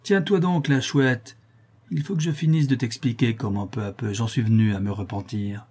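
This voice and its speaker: A man voicing a husky, dark blind old murderer. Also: mid-range voice, moderate pace, lightly acted. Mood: vengeful. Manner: intense, brooding monologue with hushed menace. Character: blind brutal old male murderer, haunted and vengeful. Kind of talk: audiobook reading of a classic novel.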